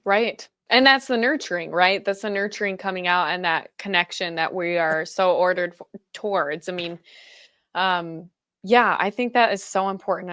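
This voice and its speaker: A woman's voice: medium pitch, a bit bright, smooth, dry recording, studio quality. A woman hosting an interview podcast, asking thoughtful questions and affirming her guest. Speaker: podcast host.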